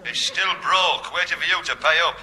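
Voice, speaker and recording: Sharp, blustering northern English dad. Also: male voice, on the high side, normal speed, rough recording. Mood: threatening. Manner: blustering, sarcastic threats. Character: gruff, sarcastic, menacing northern dad chasing a debt. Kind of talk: 1960s radio sitcom acting.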